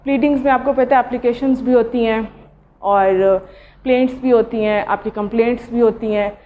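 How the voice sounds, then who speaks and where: A woman with a Pakistani accent giving an informal law lecture, speaking haltingly and mixing in English legal terms. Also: on the high side, fairly even intonation, a little hiss or hum. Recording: dull lo-fi audio.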